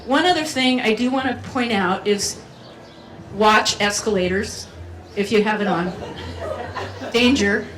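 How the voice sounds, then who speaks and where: A woman's voice: mid-range voice, unhurried, pauses often, a crowd in the background. Playful woman speaking in a roomy hall. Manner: playful and chatty, with laughs and audience participation. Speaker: festival speaker. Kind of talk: keynote talk to a live audience. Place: community event venue. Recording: roomy hall sound from far off, faint ambience.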